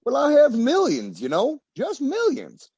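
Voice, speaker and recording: A man plays a lonesome, self-pitying tycoon in an old radio comedy. His voice is high and swings wildly up and down as he rambles and boasts about himself. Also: huge pitch swings, measured pace, a little mellow, slightly rough, dry recording, heavily acted. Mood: lonesome boasting. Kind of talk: 1940s radio comedy acting.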